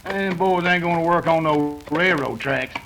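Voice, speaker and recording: Man as a high-voiced rustic outlaw. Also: unhurried, over music. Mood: dismissive. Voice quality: rustic cowboy speech. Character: gruff, skeptical male western outlaw. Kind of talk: old-time radio western acting.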